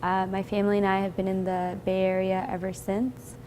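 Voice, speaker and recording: A young woman from India, a university student giving a sincere, steady personal statement. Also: mid-range voice, brisk, slight background noise. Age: young adult.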